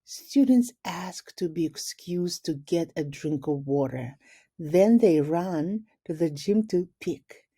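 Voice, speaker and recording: Slow-paced woman reading aloud, very soft-toned. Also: on the low side, animated, slightly rough. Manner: slow, deliberate, explaining words simply. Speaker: teacher reading a picture book aloud. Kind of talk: expressive read-aloud storytelling with explanatory asides for children.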